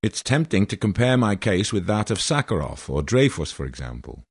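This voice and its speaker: A man in his sixties from Wales delivers a prepared statement in a formal, grandiose and aggrieved manner. His voice sits somewhat low, and the recording is dry and close with no room sound. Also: very animated, normal speed, breathy edge, dry recording. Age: 60s. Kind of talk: prepared statement read aloud.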